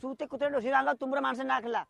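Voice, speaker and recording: A woman's voice: high voice, steady tone, fast talker, vocal fry, a bit bright. A woman in a heated argument on a phone call, scolding abusively and repeating herself.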